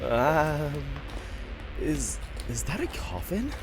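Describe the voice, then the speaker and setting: An actor playing a joking, slightly nervous young friend in casual audio drama banter. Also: male voice, on the high side, very animated, drawn-out words, over music, full character voice. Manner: joking, hesitant. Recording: faint ambience behind.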